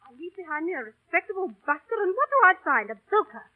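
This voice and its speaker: High-voiced, scolding young stage actress. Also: female voice, big pitch swings, quick pace. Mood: indignant scolding. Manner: emotional, colloquial, urgent. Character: bossy, warm-hearted young stage actress, scolding and coaxing. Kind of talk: 1930s-40s radio drama acting. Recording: old radio broadcast, phone-like narrow band.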